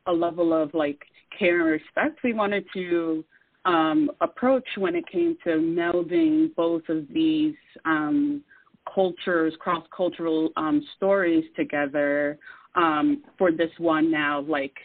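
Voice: medium pitch, steady tone, no room sound. Earnest guest speaking over a phone line. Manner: earnest, measured, with many fillers. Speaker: guest artist. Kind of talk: casual interview talk.

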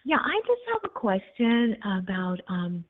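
A woman's voice: medium pitch, very animated, unhurried. A woman in her sixties calling in to a radio show, shy and giggly, hesitant. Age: 60s. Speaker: caller. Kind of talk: phone-in call. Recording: tinny phone line.

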